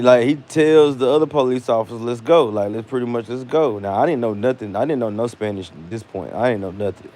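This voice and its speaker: An American man vlogging, telling a personal story casually with slangy, animated delivery and acted-out dialogue. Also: medium pitch. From United States.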